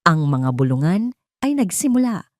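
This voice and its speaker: A Filipino woman narrates a scripted audio drama. Her voice sits low, and the recording is dry, with very little room sound. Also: sing-song, normal speed, no echo.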